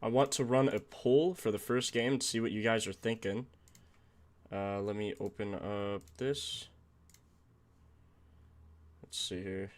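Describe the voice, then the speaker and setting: A young man with a low voice, a touch raspy from a sore throat, hosts a relaxed live stream at his desk. He talks casually and thinks out loud, now and then leaning away from the mic. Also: a little slow, pauses often. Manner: chatty, thinks aloud, greets chat viewers. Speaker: sports betting stream host.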